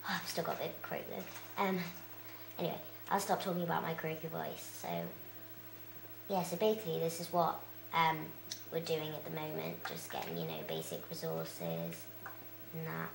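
A young girl, chatting casually with lots of fillers as she plays a video game, her voice croaky. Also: low-pitched, a little mellow, echoey room, a little hiss or hum, rough recording. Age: child. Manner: chatty, rambling, many fillers. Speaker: let's play host.

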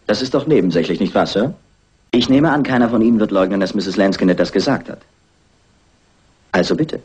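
Husky, dry police inspector. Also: male voice, fairly low pitch, fairly quick, darkish tone. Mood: insistent, official. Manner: dry, sardonic, officially polite. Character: dry, sceptical, persistent male police inspector. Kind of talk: German radio crime drama acting.

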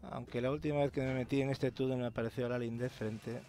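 Young Spanish man, hushed gameplay chatter. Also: medium pitch, steady tone, fast talker. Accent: Spain. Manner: swears casually, drops to whispers when sneaking. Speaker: let's play host. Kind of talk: gameplay commentary. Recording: game ambience noise behind.

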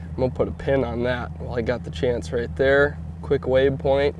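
A man's voice: mid-range voice, normal speed, lo-fi sound. Chill guy in his 30s talking fishing out on a lake, faint motor noise behind. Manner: easygoing, explanatory, laughs often when excited. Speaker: fishing guide host. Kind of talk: casual fishing instruction. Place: outdoors on a boat on a lake.

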